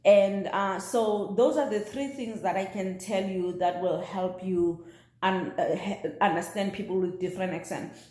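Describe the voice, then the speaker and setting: A woman's voice: mid-range voice, fairly even intonation, unhurried, darkish tone. A South African woman whose first language is Xhosa, chatting casually to camera as a vlogger, with lots of fillers and restarts. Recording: roomy echo.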